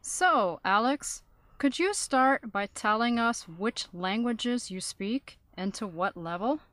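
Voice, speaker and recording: A woman playing an interviewer in a scripted listening-test dialogue, reading in a measured, clear way. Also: fairly high pitch, animated, fairly bright tone, no room sound.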